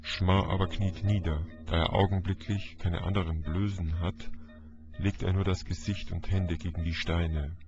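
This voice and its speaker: A man narrating a literary audiobook in a dramatic, deliberate, declamatory manner. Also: sits low, level tone. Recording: dull lo-fi audio, music behind. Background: music.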